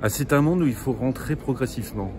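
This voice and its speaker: A man from near Brest answering a reporter's questions in a measured way, often repeating his agreement. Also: mid-range voice. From Brittany, near Brest. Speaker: festival visitor interviewed. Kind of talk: street interview. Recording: noisy outdoor street interview.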